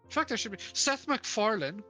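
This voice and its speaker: A woman's voice: fairly high pitch, big pitch swings, brisk, sharp tone, soft background music. A woman co-hosting a book club stream, chatting casually, rambling and stopping to search for names. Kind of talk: casual chat.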